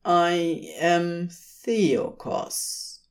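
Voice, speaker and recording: A woman's voice: fairly low pitch, drawn-out words. A woman voicing an expressionless, solemn angel administrator in an audiobook-style story, intoning in stiff formal phrases. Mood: solemn, blank.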